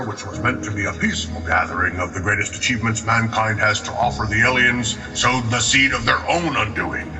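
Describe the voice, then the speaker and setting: A man's voice: mid-range voice, close to an everyday voice. Guy doing pompous president giving speeches, distant mic in a reverby hall, music under. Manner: oratorical, stammers under questioning. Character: pompous male president, rattled and evasive when questioned. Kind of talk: audio drama political speech. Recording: echoey public-address hall, distant, music behind.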